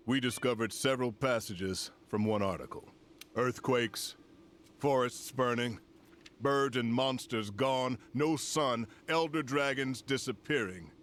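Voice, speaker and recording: A man plays a commanding older general in a game cutscene. He speaks in a formal, portentous, measured way at a middling pitch. Background ambience and noise sit clearly behind him. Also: fairly bright tone, natural voice. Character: grave, commanding older general delivering a solemn briefing. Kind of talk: dramatic video game cutscene dialogue.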